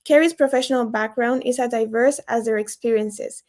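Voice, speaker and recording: A woman's voice: on the high side, fairly even intonation, a little fast. A woman moderating an online session, reading out a speaker introduction with a few stumbles. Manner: warm scripted intro, some stumbles.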